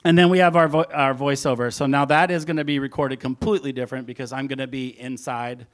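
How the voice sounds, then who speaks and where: A man's voice: mid-range voice, fairly even intonation, quick pace. A man, a music producer, giving an informal, rambling hands-on presentation, talking through each step aloud as he goes. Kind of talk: casual hands-on audio production talk.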